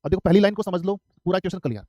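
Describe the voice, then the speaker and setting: Fast-talking male math tutor, dry sound. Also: high voice, warm tone, no room sound. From Haryana, India. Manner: repetitive, asks and answers his own questions. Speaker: math teacher. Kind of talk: live online exam-prep math class.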